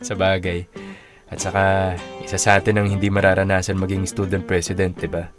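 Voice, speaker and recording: A Filipino actor playing a forgiving, introverted young office worker in conversational radio drama. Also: male voice, low-pitched, completely flat delivery, fairly quick, music in the background, natural voice. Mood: light, teasing.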